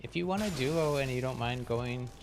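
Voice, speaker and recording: A man's voice: mid-range voice, moderate pace. A man chatting casually and in a friendly, welcoming way to viewers while streaming a game. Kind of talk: casual live gaming chat and commentary. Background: game music.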